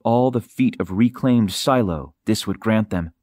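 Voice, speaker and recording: A man reading an audiobook as a narrator, with dramatic, expressive and suspenseful delivery, close to a studio microphone. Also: on the low side, measured pace, no echo. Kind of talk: dramatic scripted fiction narration.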